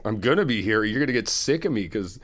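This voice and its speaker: A middle-aged man in an ASMR friend roleplay, talking in an easygoing, reassuring, chatty way with soft laughs. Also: on the low side, animated, quick pace. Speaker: ASMR roleplay creator playing a best friend.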